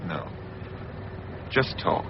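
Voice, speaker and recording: An actor playing an intense, film-obsessed schemer, insistent and persuasive, in a radio drama. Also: male voice, drawn-out words. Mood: low, guarded. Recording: dull, noisy radio broadcast recording.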